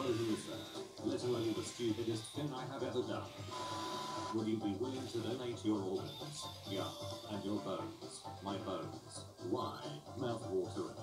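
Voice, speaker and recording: A man with a fairly low voice reads jokes aloud in a flat, mock-formal delivery. He sounds roomy and set back from the mic. Loud music plays underneath the whole time. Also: far from the mic. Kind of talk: reading memes aloud. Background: loud background music.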